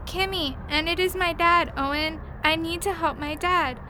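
Over clear background noise, a woman acts out a young alien girl with a high, small voice. She is earnest and desperate, and speaks with careful, formal wording in radio-play style. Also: fairly even intonation, normal speed, sharp tone. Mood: worried, insistent. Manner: stiffly formal, avoids contractions. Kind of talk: audio drama acting.

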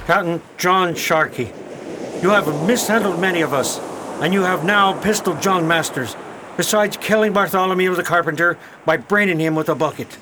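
A man's voice: high-pitched, normal speed, heavy background noise, close to an everyday voice. An actor playing a stern pirate crewman who speaks for the crew in formal, declamatory archaic talk, in a radio drama.

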